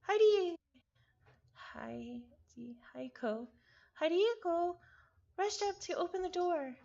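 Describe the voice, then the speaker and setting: A woman's voice: very high-pitched, expressive, slow pace, creaky, darkish tone, highs cut off. A woman reading a story aloud live with casual asides, often stumbling over and repeating words. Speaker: visual novel reader, streamer.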